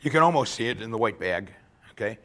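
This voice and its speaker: Hoarse man lecturing, low-voiced. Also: expressive, quick pace, sharp tone. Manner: conversational, often ends points with "okay?". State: losing his voice. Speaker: workshop lecturer. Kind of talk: informal technical lecture. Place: lecture room.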